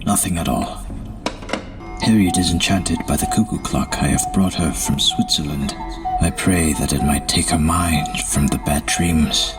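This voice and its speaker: A man playing a grave, introspective asylum doctor, reading his journal in a solemn, measured way for an audio drama. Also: very deep voice, over loud music. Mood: brooding, weary. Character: grave, brooding gentleman asylum doctor, a doting father. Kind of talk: first-person journal narration in audio drama.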